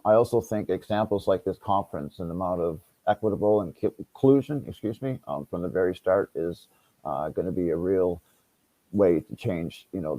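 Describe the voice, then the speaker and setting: A man's voice: low-pitched, mellow, slightly rough, no echo, rough recording. A man moderating a panel, politely and somewhat tentatively inviting others to speak.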